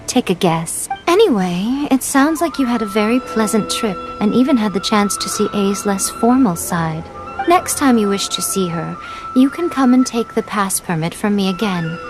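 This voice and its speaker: A woman voicing a sly, elegant shrine priestess in a video game, playful, condescending and unhurried, with music behind her. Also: mid-range voice, huge pitch swings. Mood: knowing, teasing.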